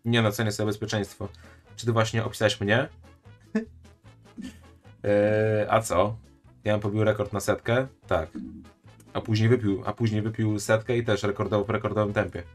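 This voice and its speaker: A man's voice: fairly low pitch, little pitch movement, moderate pace, pauses often, soft background music. A man live-streaming his reaction to a video, chatting and joking with his viewers. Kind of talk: casual live-stream commentary.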